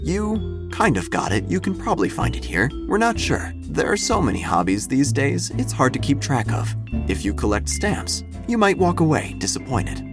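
Guy doing a small town shop ad voice, hesitant sales patter, raised pitch, comedy audio drama. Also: sing-song, moderate pace, music in the background. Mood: apologetic, salesy. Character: rambling, apologetic small-town hobby shop advertiser.

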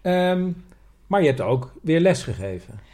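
A man's voice: fairly high pitch, big pitch swings, unhurried, warm tone. A man in casual chat as a podcast co-host. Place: indoors on a couch.

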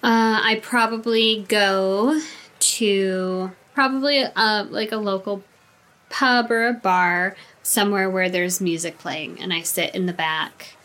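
A woman's voice: mid-range voice, fairly even intonation, a bit bright. A woman telling her character's backstory as a tabletop game player, hesitant with many fillers. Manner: unhurried, hesitant, many ums.

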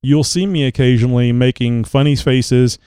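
A man hosting a casual online show talks in a loose, rambling way, stopping often on filler words. His voice is mellow and smooth, though a little crackly as he gets over a cold. The sound is dry and close. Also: mid-range voice, steady tone, moderate pace, no echo. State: recovering from a cold, slight crackle. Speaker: podcast host. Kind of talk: casual chat.

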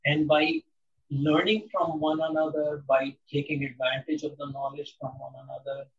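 A man in his sixties, originally from India, talks through ideas in a slow, considered way, pausing with ums as he thinks. His voice sits a little high for a man. The recording is dull and he sounds somewhat far from the mic. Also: level tone, vocal fry. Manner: measured, reflective, with frequent ums. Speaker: interviewee. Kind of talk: interview conversation.

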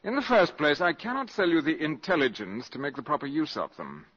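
An actor playing a suave, cynical valet turned spy in a 1950s radio drama, with urbane, precise, sardonic diction. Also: male voice, a little high, very animated, a little fast, a bit bright, slightly rough, heavily acted. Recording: dull 1950s radio broadcast recording.

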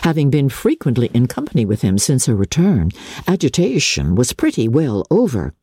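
A woman giving an expressive, deliberate dramatic reading of classic literature as narrator. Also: rumbling low, slightly rough, no room sound, studio quality.

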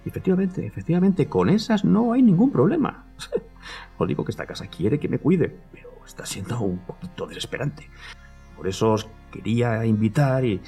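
A man's voice: medium pitch, mellow. An actor with a Castilian Spanish accent playing a nervous, agitated man in an audio drama, rambling and repeating himself. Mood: exasperated. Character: agitated man convinced his house hides his favourite food.

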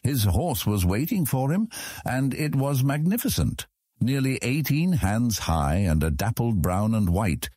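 A man narrating a fantasy audiobook in a measured, dramatic storytelling manner. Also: medium pitch, big pitch swings, warm tone, husky, dry recording, clean studio sound.

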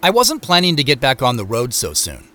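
A man's voice: medium pitch, huge pitch swings, brisk, bright, forceful tone, slight background noise. An actor playing a wry, evasive, preoccupied businessman in a naturalistic audio drama. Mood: reflective.

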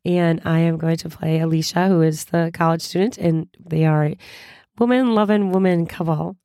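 A hoarse woman hosting a casual solo podcast, chatty and upbeat with frequent laughs, recovering from a cold. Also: on the low side, fairly even intonation, normal speed, dark tone, dry recording, clean studio sound. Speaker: podcast host.

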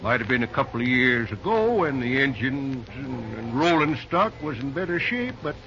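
A chatty, fatherly old railroad boss with a country drawl, bright and lively in pitch as he repeats himself. The sound is a muffled old radio transcription with a light hiss behind it. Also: moderate pace. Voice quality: rural drawl, says ain't and reckon. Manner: chatty, easygoing, repeats words. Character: folksy older male railroad manager, fatherly. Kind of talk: 1930s radio acting.

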